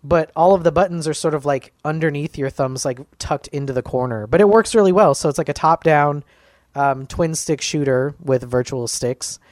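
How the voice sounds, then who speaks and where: A man's voice: a little high, normal speed, a little mellow, dry recording, clean studio sound. A man co-hosting a gaming podcast in casual chat, upbeat and full of "like" and "um" fillers. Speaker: podcast co-host.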